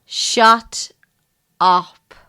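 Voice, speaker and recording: A woman's voice: drawn-out words, bright, forceful tone. A young woman playing a feisty, sceptical student in an amateur audio drama, with a stubborn, sarcastic manner. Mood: incredulous. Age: young adult.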